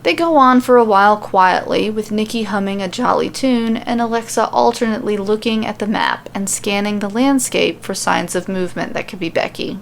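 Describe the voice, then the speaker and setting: A woman's voice: mid-range voice, moderate pace, vocal fry, few pauses, slight background noise. A woman reading scripted fiction as the narrator of an audio story.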